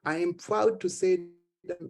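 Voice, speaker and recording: A man from Haiti with a Haitian accent, giving an earnest conference talk and taking questions, halting at times with repeated words. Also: high voice, fairly even intonation, a little mellow. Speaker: conference speaker. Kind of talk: conference speech then Q&A.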